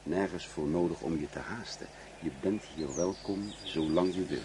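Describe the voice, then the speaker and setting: An actor playing a gruff, fatherly middle-aged husband in a radio play, speaking in short, clipped remarks. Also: low voice, moderate pace, background noise, close to an everyday voice. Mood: subdued, persuading.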